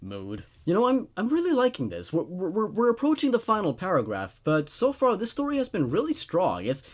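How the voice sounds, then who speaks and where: A man's voice: sits high, huge pitch swings, fairly quick. A man hosting a show where he reads horror stories aloud with dramatic narration and laid-back commentary.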